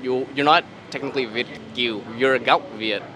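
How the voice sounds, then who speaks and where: A man's voice: medium pitch, normal speed, crowd noise, poor audio. A man hosting a casual interview, asking questions in a curious, chatty way. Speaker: host interviewer. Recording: street recording with clear background noise.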